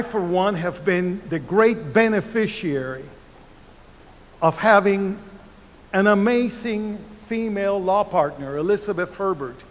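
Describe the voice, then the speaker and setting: Cuban-born older man, slow arena oratory. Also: sits high, a bit bright. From Cuba. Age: 70s. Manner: slow, deliberate oratory with emphatic pauses. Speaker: commencement speaker. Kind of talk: commencement speech. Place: large university arena. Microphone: podium microphone. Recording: reverberant arena sound.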